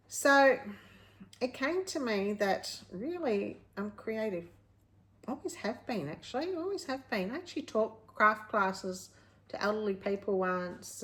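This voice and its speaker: A woman at an ordinary, middle pitch. She chats informally to her viewers as a vlog host. Her delivery is candid and rambling, as she circles back to rephrase and correct herself. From Australia. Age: late 50s. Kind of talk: casual personal vlog, chatting to viewers.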